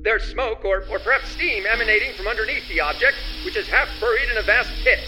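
Man playing an excitable high-voiced radio field reporter. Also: fast talker, over music, natural voice, low-quality recording. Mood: earnest, amazed. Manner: earnest, dramatic. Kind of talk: old-time radio drama reporting.

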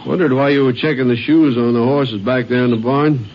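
An actor playing an earnest, helpful small-county sheriff, plain-spoken and folksy, in 1950s western radio drama style. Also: male voice, medium pitch, fairly even intonation, brisk, lightly acted. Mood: brisk, helpful. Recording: dull, clearly noisy vintage radio recording.

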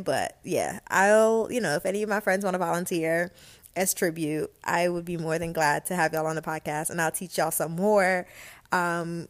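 Young woman podcast host from Guyana, lower voice, mostly American accent, casual and self-amused. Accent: light American, Guyanese traces. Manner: chatty, laughs at herself, many "um" and "like". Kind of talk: casual solo podcast chat.

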